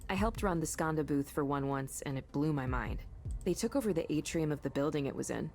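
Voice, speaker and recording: A young woman in a narrative game, with a lowish voice. She is chatty, curious and quick to put herself down, sounding natural and conversational. Music plays faintly behind her. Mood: wistful, self-deprecating. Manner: conversational, animated, self-effacing. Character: self-deprecating, chatty, curious young woman with artistic leanings. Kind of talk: naturalistic visual novel acting.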